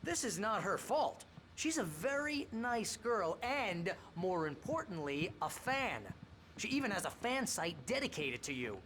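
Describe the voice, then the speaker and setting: A man playing a fast-talking, nervy, exasperated best friend in a video game, wisecracking and pleading dramatically. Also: sits high, big pitch swings, fairly bright tone, a little hiss or hum, full character voice.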